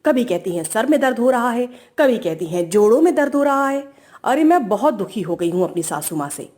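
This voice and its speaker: A woman's voice: fairly high pitch, big pitch swings, a little fast, darkish tone, pitched below the performer's own voice. A woman playing a defiant young daughter-in-law who complains and talks back in a family story. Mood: complaining. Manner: whiny, back-talking.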